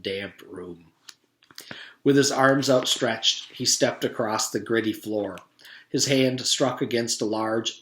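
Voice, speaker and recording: A man's voice: lowish voice, fairly bright tone. A man, a teacher, reading a children's storybook aloud to his students. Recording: echoey room.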